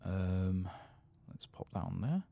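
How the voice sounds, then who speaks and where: A man doing a soft-spoken ASMR game playthrough, murmuring musing asides and sometimes dropping toward a whisper. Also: low-pitched, very animated, takes it slowly, creaky. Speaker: gaming ASMR host.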